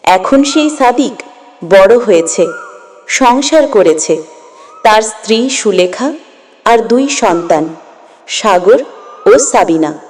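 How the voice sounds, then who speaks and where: A woman narrating a scripted story with measured, expressive phrasing. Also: mid-range voice.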